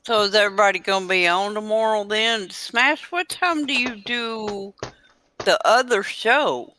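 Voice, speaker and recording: A woman's voice: mid-range voice, measured pace, pushed and bright, slight background noise. A woman in a casual gaming group chat with a blunt, teasing manner.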